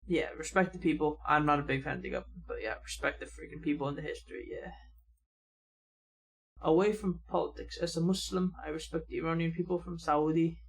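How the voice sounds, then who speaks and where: A young man hosting a reaction channel, casually reading viewer comments aloud and adding quick remarks of his own. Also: on the high side, fairly even intonation, creaky, breathy edge, low-quality recording. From United States.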